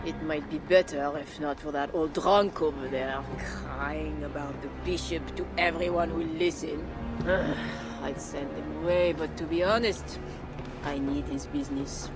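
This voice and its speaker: An actor playing a wry, world-weary tavern keeper in a video game, drawling with amiable sarcasm. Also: male voice, on the high side, steady tone, measured pace, close to an everyday voice, low-quality recording. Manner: sardonic, drawling. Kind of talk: video game character acting. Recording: music behind the voice.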